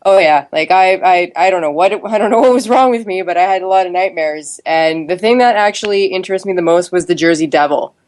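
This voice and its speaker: A woman's voice: fairly low pitch, fast, few pauses, darkish tone. A woman chatting informally on a podcast, peppering her talk with "like". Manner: chatty, informal, says "like" often. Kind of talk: casual podcast chat.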